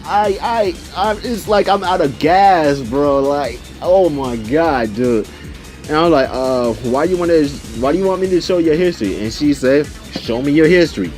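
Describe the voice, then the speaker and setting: Animated young man with a highish voice. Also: moderate pace, soft background music. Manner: animated, slangy, re-enacts conversations. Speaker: video storyteller. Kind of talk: casual personal storytelling.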